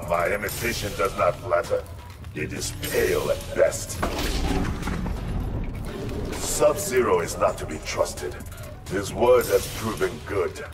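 A man's voice: low voice, lively intonation, slow, long pauses. A man playing a stern, honor-bound ninja warrior in a video game, speaking in grave, terse, formal phrases. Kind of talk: video game character acting. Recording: loud music behind, distant sound.

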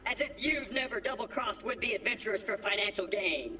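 A scheming game character, high-pitched, animated, game music behind. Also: male voice, fairly quick, distant mic. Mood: sly. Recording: game audio over music.

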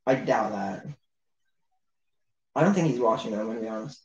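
A man's voice: on the low side, animated, brisk, a little mellow, muffled recording. A young man co-hosting a livestream indoors, chatting casually with slangy, teasing banter. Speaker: livestream co-host. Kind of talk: casual chat. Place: living room at a table, indoors. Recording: echoey room.

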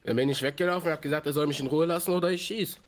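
A hoarse man in a game roleplay, pleading and defending himself. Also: fairly high pitch, rapid-fire, a little hiss or hum. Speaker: roleplay player.

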